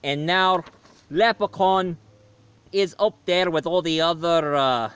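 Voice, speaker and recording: Cheeky leprechaun reviewer voiced by a man, hammy Irish accent, fairly high, smooth voice, rambling with fillers. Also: takes it slowly, a bit bright. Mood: jolly. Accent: put-on Irish. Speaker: movie reviewer.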